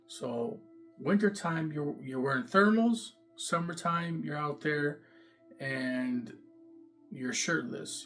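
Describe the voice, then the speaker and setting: A man talking straight to camera in a loose, repetitive way, full of "like" and "boom", his voice sitting in the middle for pitch. It's unpolished storytelling, with a little music playing softly behind him. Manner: loose and repetitive, many fillers, emphatic "boom". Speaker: vlogger recounting jail time. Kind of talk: casual first-person storytelling to camera.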